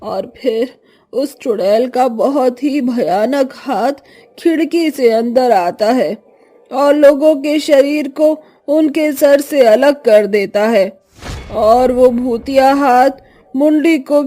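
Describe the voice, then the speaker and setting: A woman's voice: high voice, takes it slowly, warm tone. A woman playing an anxious, caring village mother in a horror story, speaking in an earnest, cautioning way. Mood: grave warning.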